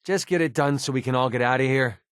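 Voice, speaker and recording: A man's voice: medium pitch, quick pace, clean tone, no room sound, studio quality, lightly acted. An American man playing a cynical, wisecracking young mercenary in a video game, with a casual, sarcastic, slangy delivery. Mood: wry. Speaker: video game companion character.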